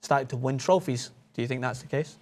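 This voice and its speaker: A man's voice: medium pitch, brisk. Man hosting a sports panel. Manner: leads the talk, lots of short agreeing replies. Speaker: host.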